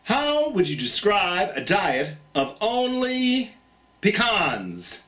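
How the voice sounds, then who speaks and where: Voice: mid-range voice, wildly swooping pitch, takes it slowly, fairly bright tone, recorded from a distance, soft background music. A children's storytime host with a theatrical, singsong manner, speaking encouragingly and directly to young listeners.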